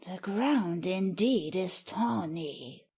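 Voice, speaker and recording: Woman as a husky, sardonic scheming nobleman. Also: fairly low pitch, expressive, extremely slow, a little mellow, heavily acted. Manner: dry, sardonic asides. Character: mocking, cynical scheming nobleman. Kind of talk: dramatic Shakespeare audiobook reading.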